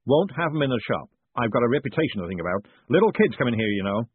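A man's voice: mid-range voice, big pitch swings, unhurried, husky, dry recording, voice pushed up. A man voicing a big, keen pin-shop keeper in an audiobook, proud and furtive, with a knowing, confiding salesman's patter. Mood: wary, knowing. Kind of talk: audiobook character acting. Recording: muffled narrow phone-like sound.

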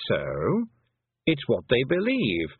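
Pedantic talking toad, read by a man, matter-of-fact, husky, phone-tinny storybook. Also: mid-range voice, huge pitch swings, slow, dry recording, full character voice. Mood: dry.

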